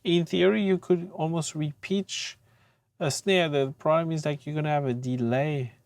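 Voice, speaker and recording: A tutor giving an informal software demonstration, speaking in a hesitant, halting way with frequent pauses. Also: mid-range voice, steady tone, slight background noise. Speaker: audio mixing tutor.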